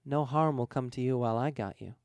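A man's voice: mid-range voice, a little fast, a little mellow, no room sound, natural voice. A man voicing an ancient, seductive female-sounding evil spirit with a coaxing drawl in an audiobook story.

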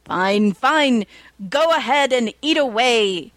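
Woman putting on a self-important, drawn-out superhero man. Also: on the high side, expressive, a bit bright, no echo, voice pushed up. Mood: whiny. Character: cocky, grandstanding male superhero, vain but whiny.

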